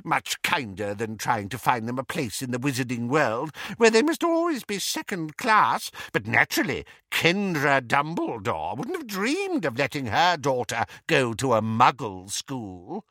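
Old witch, malicious and gleeful, shrill cackle, dry close sound; male reader. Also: very animated, unhurried, few pauses, pushed and bright, no room sound, full character voice. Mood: gossiping. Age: older. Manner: cackling, needling, high-pitched. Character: shrill gossiping old witch, spiteful and relishing.

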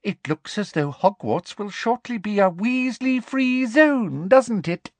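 An overbearing female official in a fantasy audiobook, performed by a man. Her pitch is far up and swoops widely. She speaks in formal, sneering phrases, a cruel bully sure of her power. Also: expressive, slow, no echo, full character voice. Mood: smug, sneering.

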